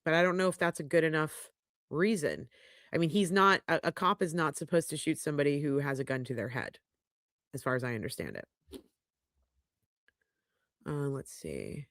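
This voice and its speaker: A woman's voice: deep voice, expressive, normal speed, pauses often, fairly bright tone, no echo, studio quality. A middle-aged woman hosting a livestream, congested and sniffly, chatting frankly as she reads viewer comments aloud. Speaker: podcast host reading live chat. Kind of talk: casual livestream chat. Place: home podcast studio. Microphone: studio microphone.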